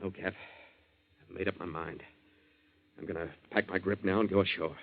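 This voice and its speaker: A man's voice: sits low, fairly even intonation, moderate pace. An actor playing a blunt, slangy, hot-headed young waterfront man with a decent heart in a 1940s radio drama. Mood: resigned, earnest. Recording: dull 1940s radio recording.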